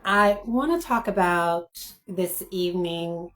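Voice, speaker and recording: A hoarse woman hosting her own commentary channel, speaking to her viewers in a halting, thoughtful way with many pauses and restarts. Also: lowish voice, fairly bright tone. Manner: addresses viewers directly. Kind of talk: spoken video commentary.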